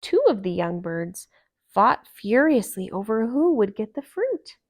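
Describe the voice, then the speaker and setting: A woman reading a children's story aloud in a calm, expressive and gentle narration. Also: medium pitch, a little slow. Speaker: storybook reader.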